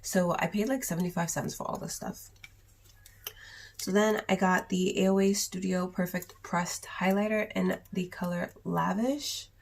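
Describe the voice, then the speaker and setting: A young woman with a sore throat and cough, chatting casually in a raspy voice through a makeup haul vlog. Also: on the low side, steady tone, normal speed. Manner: chatty, rambling, with many ums and likes. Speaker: beauty vlogger.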